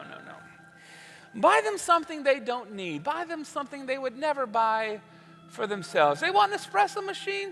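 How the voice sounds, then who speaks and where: A man's voice: very high voice, bright, forceful tone, exaggerated voice. A man playing a jovial, wry old-time radio announcer, delivering comic sponsor spiels and monologues. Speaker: radio show announcer and host. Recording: echoey hall, faint music.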